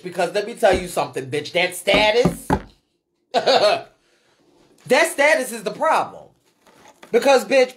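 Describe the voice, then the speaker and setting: An American woman on an unscripted live stream, ranting bluntly and emphatically with heavy swearing and repeated phrases. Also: medium pitch. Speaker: live streamer and ballroom scene commentator. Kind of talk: unscripted live rant answering viewer comments.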